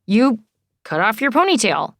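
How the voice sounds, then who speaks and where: A woman's voice: on the high side, big pitch swings, measured pace, fairly bright tone, no echo, clean studio sound, voice pushed up, full character voice. A woman voicing an exasperated, sardonic adult woman in audiobook dialogue, wry and indignant. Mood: surprised, awkward.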